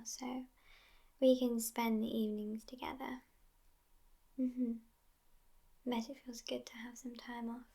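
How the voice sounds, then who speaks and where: Woman playing a slow, whispery, world-weary vampire girlfriend. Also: fairly high pitch, vocal fry, darkish tone, full character voice. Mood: intimate, amused. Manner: languid, disdainful, pausing with thoughtful hums. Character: sassy, world-weary, centuries-old female vampire girlfriend on a date. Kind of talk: whispery soft-spoken ASMR roleplay.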